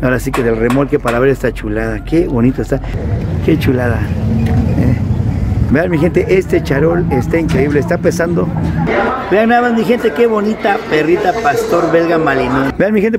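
Loud vehicle noise surrounds a Mexican man chatting casually to his vlog audience. His voice is fairly high and a little stuffed-up and nasal, picked up from some distance. He sounds enthusiastic and familiar as he comments along the way. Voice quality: slightly congested, nasal. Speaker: travel vlogger, host. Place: moving car on highway, some outdoors. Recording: heavy car and road noise, distant mic.

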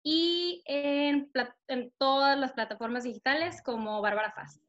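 A woman's voice: high voice, a little slow, fairly bright tone, lo-fi sound. A young woman from northern Mexico with a northern Mexican accent, chatting upbeat with many fillers in an interview over a video call. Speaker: singer-songwriter guest. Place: bright room at home. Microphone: laptop camera on video call.

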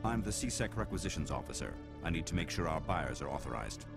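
Casting: alien requisitions officer, game, very deep, animated intonation, businesslike and courteous. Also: male voice, fairly quick, vocal fry, over music, exaggerated voice. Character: businesslike alien male police quartermaster. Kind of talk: conversational sci-fi game dialogue.

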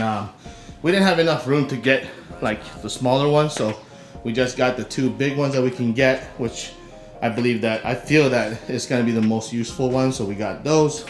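A middle-aged man talks casually to a camera like a vlogger showing people around. His delivery is halting, feeling for the next word. The sound bounces off the hard walls of a garage, and music plays underneath. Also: mid-range voice. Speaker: vlogger, channel host. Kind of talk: casual home tour vlog narration. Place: small garage home gym, indoors. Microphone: camera mic, handheld or a few feet away. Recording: echoey garage with music behind.

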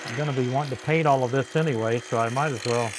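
A man's voice: medium pitch, fast talker, heavy background noise. A middle-aged man hosting a tractor repair video, explaining a fix to the camera in a casual, thinking-aloud way with frequent restarts, recorded close up in a workshop. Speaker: tractor repair channel host. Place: workshop beside a tractor, indoors.

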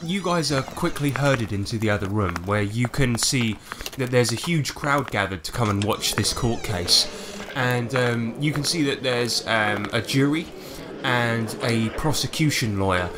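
A man's voice: medium pitch, a little slow, noisy background. A man narrating a tabletop roleplaying game as its game master, setting scenes informally.

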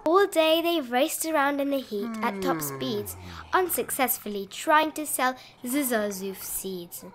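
Female storybook narrator, highish voice, sing-song rhymes for children, some background noise on the recording. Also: big pitch swings, a little slow. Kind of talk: expressive read-aloud of a rhyming children's bedtime picture book.

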